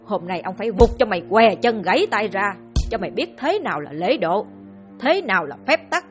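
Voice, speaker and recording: A woman voicing a cruel, furious old village chief who shouts threats at his son, with a breathy voice and faint music behind. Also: medium pitch, animated, a little fast, lightly acted. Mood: raging.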